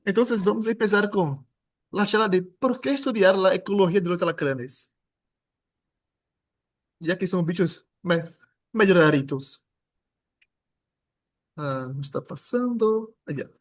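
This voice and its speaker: A man with a Brazilian Portuguese accent lectures at a seminar, loose and jokey, tripping over words and restarting. His voice sits a little high for a man. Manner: informal, joking, halting with restarts. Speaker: guest lecturer. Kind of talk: academic seminar talk.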